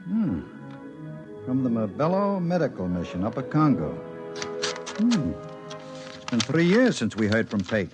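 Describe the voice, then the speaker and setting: An actor playing a gruff, blunt, sceptical male chief in 1950s radio drama style. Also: medium pitch, huge pitch swings, measured pace, long pauses, over music. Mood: curious.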